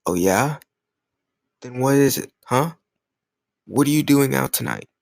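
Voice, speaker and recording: Man playing a cocky, gravelly flirt. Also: mid-range voice, expressive, darkish tone, no echo, studio quality. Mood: smug, flirtatious. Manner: teasing, cajoling, smug, drawn-out words. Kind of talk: intimate romantic audio roleplay.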